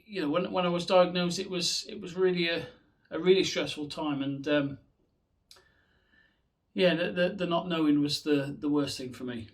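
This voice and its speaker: A man's voice: fairly high pitch, fairly even intonation, normal speed, distant mic. A man in his forties sharing a candid personal story, hesitant with many restarts and fillers. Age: 40s. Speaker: charity supporter.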